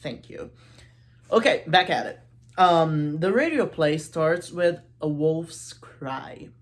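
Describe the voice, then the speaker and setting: Low-voiced woman, chatty and playful. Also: takes it slowly. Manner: informal, playful, with asides to the viewer. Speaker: host of a literature video series. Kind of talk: casual, chatty literary analysis.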